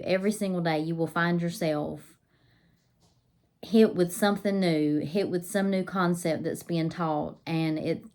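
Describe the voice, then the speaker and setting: A woman's voice: fairly low pitch, darkish tone. A woman vlogging about homeschooling, her voice a little scratchy and nasal from pollen, chatty with many ums and restarts. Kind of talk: casual to-camera talk.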